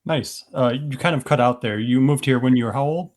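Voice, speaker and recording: A man's voice: medium pitch, brisk, darkish tone. A man hosting a podcast interview, chatting in a friendly, rambling way with restarts. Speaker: podcast host. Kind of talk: casual interview chat.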